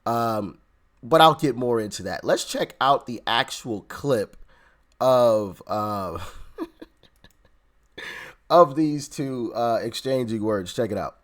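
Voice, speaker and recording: Hoarse, sarcastic male commentator. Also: medium pitch, animated, slow pace, long pauses. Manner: sarcastic, laughing asides. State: hoarse, losing his voice. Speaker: political commentary YouTuber. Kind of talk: reaction commentary.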